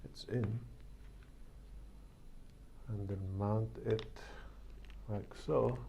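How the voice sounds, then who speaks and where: A man's voice: fairly low pitch, steady tone, very slow, gravelly, dark tone. A man talking casually and thinking aloud as he works through a hands-on product unboxing and installation. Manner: thinking aloud while working. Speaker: product reviewer. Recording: faint background noise.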